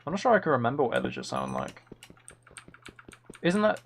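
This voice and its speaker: Playful man with animated, creaky voice. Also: medium pitch, brisk. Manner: playful, rambling, trying out game sound impressions. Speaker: livestreamer, author. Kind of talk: casual gaming livestream chat with viewers. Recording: faint noise with key clicks. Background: computer keyboard typing and clicking.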